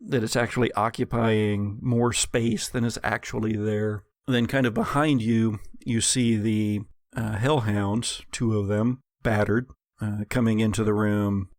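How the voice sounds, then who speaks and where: A man narrates a gothic horror tabletop game as game master. His pace is slow and deliberate, with descriptive, suspenseful phrasing, and the recording is close and dry. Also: fairly low pitch, no room sound.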